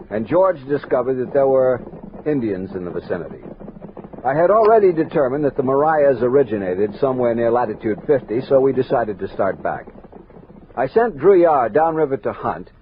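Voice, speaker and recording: Frontier army captain, male actor: authoritative, steady, formal journal narrator with lively intonation, old thin radio sound. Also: medium pitch, phone-quality sound, slight background noise, lightly acted. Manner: authoritative, formal, measured storytelling. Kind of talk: 1960s radio drama acting and narration. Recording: thin, band-limited vintage radio recording.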